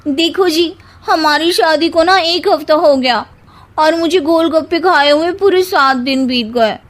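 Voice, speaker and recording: A woman as a very high-pitched whiny young bride. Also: unhurried. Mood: stubborn. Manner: dramatic, pleading, petulant. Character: whiny, stubborn young bride obsessed with street snacks. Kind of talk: Hindi cartoon comedy dubbing.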